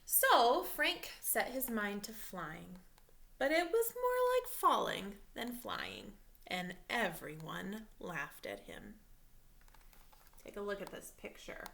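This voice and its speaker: A woman reading a picture book aloud like a teacher, pausing to explain and ask questions of the listeners. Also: mid-range voice, vocal fry, fairly bright tone, slight background noise. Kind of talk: expressive storybook read-aloud with teaching asides.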